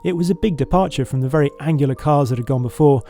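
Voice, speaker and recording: Guy doing voice-over, gravelly and mellow, soft music behind. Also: on the high side, fast talker. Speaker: narrator. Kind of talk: scripted voice-over narration.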